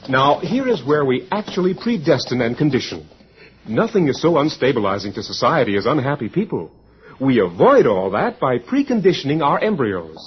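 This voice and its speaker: An actor playing a brisk, authoritative hatchery guide who lectures proudly and clinically in mid-century radio drama style. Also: male voice, medium pitch, sing-song, a little hiss or hum, lightly acted. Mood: proud, lecturing. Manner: declamatory lecturing to students. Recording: dull, lo-fi old radio recording.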